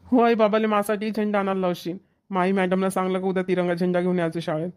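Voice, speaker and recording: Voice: medium pitch, level tone, quick pace, a little mellow, clean tone, lightly acted. A performer playing an argumentative boy in a cartoon village family comedy, with a Vidarbha Marathi accent. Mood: pleading. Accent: Maharashtrian, Vidarbha Marathi. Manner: complaining, argumentative.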